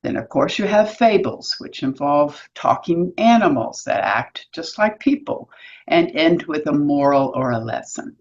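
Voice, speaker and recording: A woman's voice: low-pitched, big pitch swings, measured pace, a little mellow, highs cut off. A woman presenting an educational explainer in an articulate, instructive way with a lightly wry touch. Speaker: presenter of an educational video series, author and workshop leader. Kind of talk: semi-scripted educational explainer.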